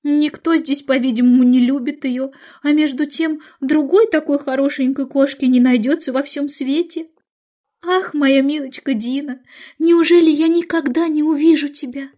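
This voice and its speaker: A woman's voice: high-pitched, fairly even intonation, moderate pace, dark tone, voice pushed up, close to an everyday voice. A woman voicing an eager, polite young girl, earnest and impulsive in the way she talks. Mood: wistful. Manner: earnest, exclaiming.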